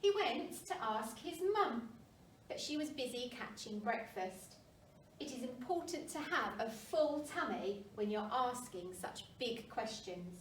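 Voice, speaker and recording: Woman reading a kids story, slow and sing-song, echoey room, bit far from mic. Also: high voice. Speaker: primary school story reader. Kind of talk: expressive children's storybook narration. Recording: roomy, distant mic, faint noise.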